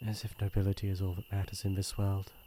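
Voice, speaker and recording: A man with a British accent playing a shy, earnest young crown prince in an ASMR roleplay, speaking in formal, hesitant, gentle phrases. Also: low-pitched, completely flat delivery, quick pace, slight background noise, lightly acted. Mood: tender concern. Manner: formal courtly phrasing, hesitant and soft-hearted.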